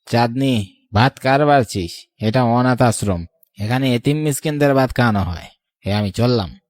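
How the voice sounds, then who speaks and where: A man's voice: mid-range voice, normal speed, a little mellow, no echo, close to an everyday voice. A man playing a cruel, greedy villain in a Bengali horror cartoon, with a taunting, sneering manner. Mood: sneering, resentful. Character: cruel, greedy man who murders a relative for property.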